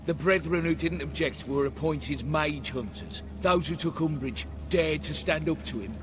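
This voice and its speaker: An actor playing a proud, embittered rogue knight in a video game, speaking in a solemn, aggrieved, oratorical way. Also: male voice, a little high, moderate pace, music in the background, lightly acted. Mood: bitter, solemn.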